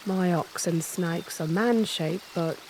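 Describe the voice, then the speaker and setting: Fantasy audio drama: folksy young female servant, slightly low pitch, leisurely pace, tender, guarded, plainspoken. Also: slow, slight background noise, rough recording. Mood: gentle, musing. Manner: rustic, folksy, gentle. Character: earthy working-class young woman, wary but tender.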